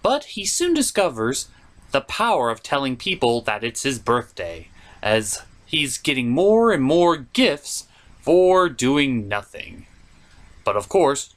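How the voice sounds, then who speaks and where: Theatrical cartoon reviewer with swooping pitch. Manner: theatrical, sarcastic, mock-exasperated; profane. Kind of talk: comedic scripted review narration.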